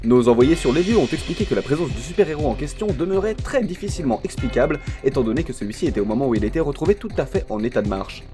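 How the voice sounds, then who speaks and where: A man playing a serious parody news presenter in an audio comedy, reading formally and deadpan. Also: medium pitch, racing, hardly any pauses, faint music behind, close to an everyday voice. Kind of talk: scripted parody newsreading.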